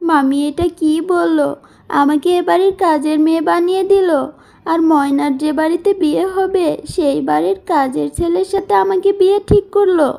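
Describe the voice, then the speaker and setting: Woman playing a high-voiced, plaintive orphan girl. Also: moderate pace, creaky, mellow. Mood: hurt, plaintive. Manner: plaintive, earnest. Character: sorrowful, mistreated orphan young woman in a folk tale. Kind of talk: animated moral-story cartoon dubbing.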